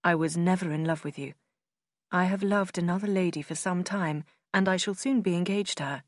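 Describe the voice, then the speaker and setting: A woman voicing a cold, formal young gentleman as she reads his letter in an audiobook, with a detached manner. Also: lowish voice, fairly quick, no room sound, clean studio sound, lightly acted. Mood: cool, formal.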